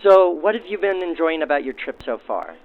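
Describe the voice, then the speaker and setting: A male interviewer with a slightly high voice, speaking politely in well-formed sentences. He sounds like he is coming through an old 1940s radio, thin and narrow-band. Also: big pitch swings, brisk, a little hiss or hum. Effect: vintage 1940s radio filter. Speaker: interviewer and show host. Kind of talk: on-location interview with narrated links.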